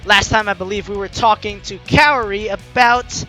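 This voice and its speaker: A young adult host doing casual, playful game commentary and theatrically reading the dialogue aloud in an excitable way. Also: medium pitch, moderate pace. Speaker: let's play host. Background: faint game music.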